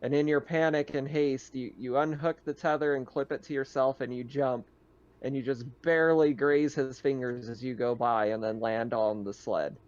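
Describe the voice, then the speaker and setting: A man's voice: mid-range voice, steady tone, normal speed. A man narrating a tabletop roleplaying game as game master in an easygoing, explanatory way, on slightly dull audio.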